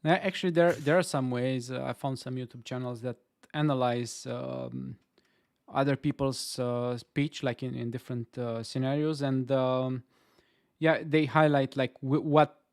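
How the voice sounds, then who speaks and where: Romanian man with a gravelly, dry voice. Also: mid-range voice, steady tone, unhurried, darkish tone, no echo. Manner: hesitant, many fillers and restarts. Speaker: stream co-host. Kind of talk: casual chat. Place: Stockholm.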